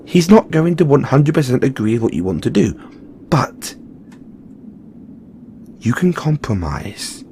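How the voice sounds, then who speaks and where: Guy doing a santa's workshop elf, slow talker, weary but supportive, straight-talking audio roleplay, faint noise behind. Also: medium pitch, sing-song, darkish tone. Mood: coaxing. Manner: earnest, chiding yet reassuring, informal. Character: frank, caring male Christmas elf, weary but supportive. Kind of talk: second-person audio roleplay monologue.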